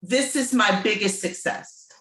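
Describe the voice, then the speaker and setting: Slow, bright-voiced woman with room echo. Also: medium pitch. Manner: emphatic and motivational, drawn-out delivery. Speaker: interview guest, business owner. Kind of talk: casual interview chat.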